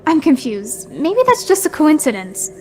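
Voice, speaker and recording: A woman voicing a timid, unsure young pony filly in a horror audio drama, soft and hesitant. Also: sits high, sing-song, measured pace, a little hiss or hum. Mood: hesitant, puzzled.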